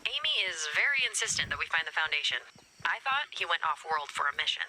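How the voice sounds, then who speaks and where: An actress playing a commanding, focused resistance leader in a video game, speaking in an urgent, clipped manner. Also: female voice, low-pitched, very animated, fast talker, pushed and bright, slight background noise, exaggerated voice, poor audio. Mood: concerned, focused. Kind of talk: scripted video game voice acting. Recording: music under the voice.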